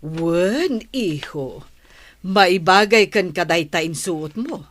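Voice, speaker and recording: A woman's voice: fairly low pitch, lively intonation, takes it slowly. An actress playing a caring, attentive middle-aged mother in a radio drama, speaking in a solicitous, motherly way.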